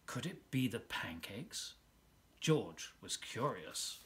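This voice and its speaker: A man reading a children's picture book aloud as a volunteer storyteller, expressively, with drawn-out words and dramatic pauses. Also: medium pitch, very animated, slight background noise.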